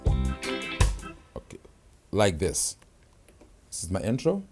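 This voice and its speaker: A man's voice: sits low, huge pitch swings, extremely slow, over loud music. A man giving a hands-on keyboard tutorial, explaining each feature step by step and checking in with the viewer often. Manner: explanatory, checks in with "okay?" and "right?". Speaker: product demonstrator.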